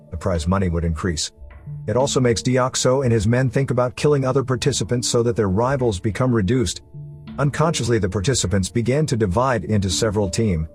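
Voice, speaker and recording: A man's voice: sits low, darkish tone. A man reading scripted narration for a story recap video, as its narrator.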